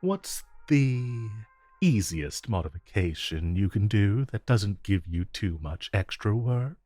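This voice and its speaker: A suave male supervillain played by a man flirts in a romantic audio roleplay. His voice is on the low side and moves at a slow, teasing pace, with faint music underneath. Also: lively intonation, dark tone. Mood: measured, concerned. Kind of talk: romantic audio roleplay acting addressed to the listener.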